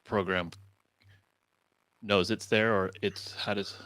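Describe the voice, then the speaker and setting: A man with a low voice hosts in an informal, chatty way. The sound is dry and close as he puts questions to his guest. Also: fairly even intonation, slow pace, fairly bright tone, no echo, poor audio. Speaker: host, moderator. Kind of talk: casual chat, interviewing.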